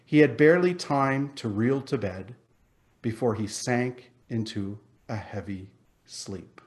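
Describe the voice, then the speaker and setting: A man narrates a storybook in a dramatic style. His delivery is slow and measured, with expressive phrasing throughout. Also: mid-range voice. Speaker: reader, narrator.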